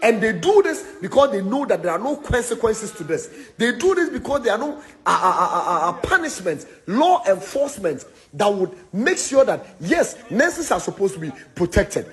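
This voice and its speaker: A man with a Ghanaian accent making an indignant, emphatic spoken complaint, halting and repeating words as he goes. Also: high voice, sing-song, a bit bright. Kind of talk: impassioned spoken complaint to camera.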